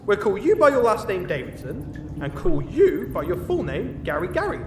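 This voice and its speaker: An exasperated jailer played by a man in a comic fantasy audio drama. The voice is high and swings up and down as he fussily tries to keep order, heard in a roomy echo. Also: wildly swooping pitch, normal speed, far from the mic, full character voice. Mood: flustered. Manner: hesitant, fussy, sweary. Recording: roomy echo, off-mic, faint background noise.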